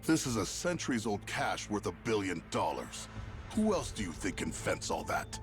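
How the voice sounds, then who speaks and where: Video game villain, male: polished, menacing businessman-gangster, formal and superior, deep register, swinging delivery. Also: very animated, normal speed. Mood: cold, insistent. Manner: smooth formal menace, condescending. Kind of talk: dramatic video game voice acting. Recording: game music behind.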